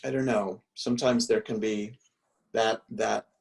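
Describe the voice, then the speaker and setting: A male art history professor musing aloud in relaxed conversation, full of restarts and fillers, speaking from a room at home on a video call. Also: fairly low pitch, unhurried, creaky, distant mic. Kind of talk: reflective conversational answers.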